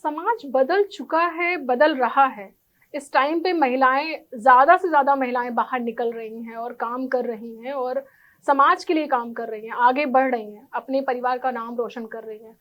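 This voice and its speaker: High-pitched woman, interview guest. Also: moderate pace, muffled recording. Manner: earnest, mixes English words into speech. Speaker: interview guest, architect and NGO volunteer. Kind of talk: formal interview answers.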